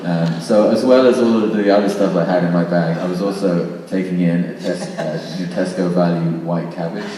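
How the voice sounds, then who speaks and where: A man's voice: low voice, steady tone, moderate pace, hardly any pauses, warm tone. A man speaking informally on a panel, answering audience questions in a wry, anecdotal way. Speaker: panel speaker who made the recording and takes audience questions. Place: large reverberant indoor venue, live event. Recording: very echoey hall, far mic, faint noise.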